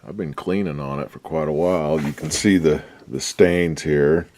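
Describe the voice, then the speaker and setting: A man giving a casual, unscripted workbench demonstration in a laid-back, folksy, halting way, often trailing off. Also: a bass voice, fairly even intonation, a little mellow. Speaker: radio restoration hobbyist presenting a repair update.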